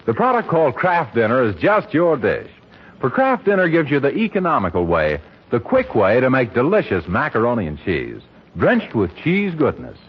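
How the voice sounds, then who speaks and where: A man announcing and selling in a polished, emphatic 1940s scripted radio advertising style. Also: medium pitch. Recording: muffled, noisy old radio recording.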